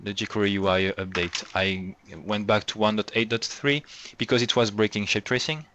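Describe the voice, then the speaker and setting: Male meeting lead, lowish bright voice, quick and joking, faint hiss on a call mic. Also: steady tone, highs cut off. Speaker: developer leading a team meeting. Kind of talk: casual online meeting chat. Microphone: computer mic on a video call.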